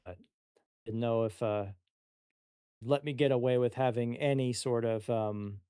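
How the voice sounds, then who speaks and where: A man's voice: medium pitch, steady tone, measured pace, mellow, clean tone, no room sound, clean studio sound. A man chatting casually as a tabletop game player, speaking in a hesitant, tentative way. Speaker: player creating a character. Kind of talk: casual chat.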